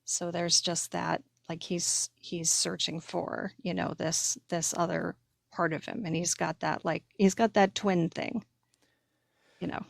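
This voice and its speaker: A woman co-hosting a podcast in a casual, informal way, halting often as she works out her thoughts. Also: fairly low pitch, flat delivery, vocal fry, dry recording, studio quality. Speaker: podcast co-host. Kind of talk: casual chat.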